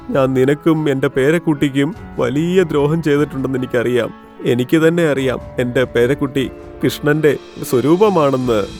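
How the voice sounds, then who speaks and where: A man plays an old grandfather in a cartoon moral tale, humbled and pleading. His voice sits fairly high for a man, with faint music behind it. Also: darkish tone, lightly acted. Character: old father-in-law, remorseful grandfather begging forgiveness. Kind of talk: animated moral story voice acting.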